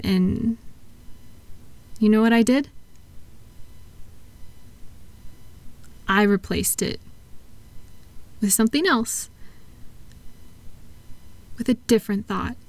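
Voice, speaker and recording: A woman playing a kind therapist in a comfort audio roleplay, speaking softly and gently, reassuring and encouraging her patient without hurry. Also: on the high side, no room sound. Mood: soothing, reflective. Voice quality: soft-spoken. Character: kind, soothing woman therapist guiding a patient with futuristic tablet.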